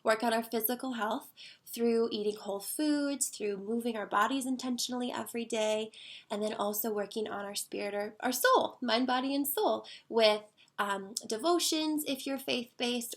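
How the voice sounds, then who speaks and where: A woman's voice: fairly high pitch, creaky. A woman in her thirties, a wellness coach talking casually and earnestly, a little stuffy from a cold. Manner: earnest, conversational, with fillers. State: slightly stuffy nose from a cold. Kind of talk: casual monologue.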